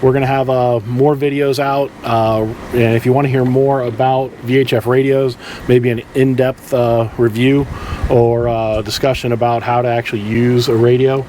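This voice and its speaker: A man with a mid-pitched voice gives an informal how-to talk as a boat captain. He explains step by step in a halting manner. Faint vehicle-like ambient noise sits behind him, as recorded up in a boat's tower. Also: level tone, warm tone. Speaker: charter boat captain presenting boating tips. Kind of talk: informal instructional video talk. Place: outdoors in the tower of a sportfishing boat.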